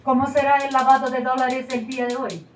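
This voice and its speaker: An actress playing a radio show presenter on stage, with a brisk announcer manner and wry asides. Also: female voice, high voice, flat delivery, lightly acted. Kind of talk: stage acting of a radio broadcast. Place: small theater stage. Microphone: far camera. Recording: echoey room from far off, faint noise.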